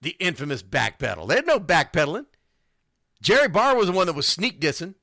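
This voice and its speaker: Animated male radio host, lively pitch. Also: fairly quick, no echo, clean studio sound. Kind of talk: casual live sports radio talk with read sponsor spots.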